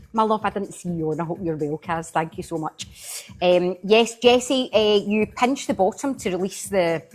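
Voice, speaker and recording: A woman with a Glaswegian accent hosting a casual live-stream product demo, chatting and thanking viewers by name as she goes. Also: mid-range voice. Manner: chatty, answers viewers by name while demoing. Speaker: live-selling host.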